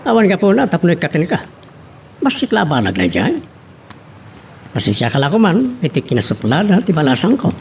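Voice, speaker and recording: Creaky-voiced genial Ilocano boss acted by a man. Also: on the high side, huge pitch swings, a little fast, pauses often. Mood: reassuring. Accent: Ilocano Filipino. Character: middle-aged male boss, genial, indulgent father. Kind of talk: amateur audio drama dialogue. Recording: faint background noise.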